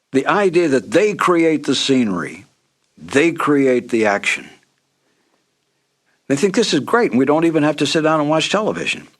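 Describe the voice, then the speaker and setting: An older American man reflecting in an interview as a veteran radio performer, measured, emphatic and opinionated. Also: medium pitch.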